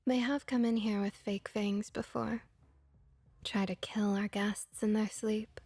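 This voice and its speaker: A woman playing a friendly, comforting vampire innkeeper in a soft-spoken ASMR roleplay, gentle, reassuring and often whispery. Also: mid-range voice, slightly rough, no room sound. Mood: welcoming, helpful.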